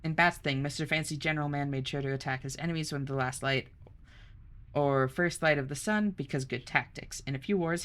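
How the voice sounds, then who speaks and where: A young woman streamer chatting casually, reading chat aloud, bubbly and quick to laugh. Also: low-pitched, fairly bright tone.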